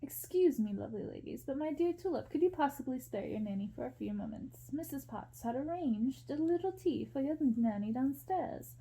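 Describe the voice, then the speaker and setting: A woman voicing a courteous, charming male household servant in a storybook, polite and flattering. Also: high-pitched, normal speed, few pauses.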